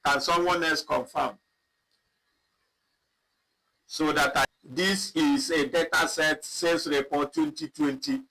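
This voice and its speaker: A man teaching a live online spreadsheet lesson, talking slowly and repeating himself as he clicks through data. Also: on the high side, expressive, vocal fry, bright, forceful tone, rough recording. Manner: slow, repetitive, thinking aloud while clicking. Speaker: instructor. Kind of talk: live online software tutorial.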